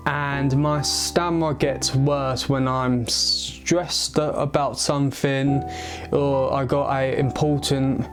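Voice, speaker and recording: Stammering young man, very slow. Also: mid-range voice, level tone. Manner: stammering, halting with many pauses and restarts. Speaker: vlogger. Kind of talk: personal story to camera. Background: guitar music.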